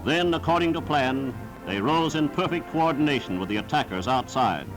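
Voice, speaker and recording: A man's voice: medium pitch, animated, normal speed, over music, low-quality recording. A man narrating a scripted 1940s wartime newsreel in a solemn, formal, declamatory style, on a vintage film soundtrack.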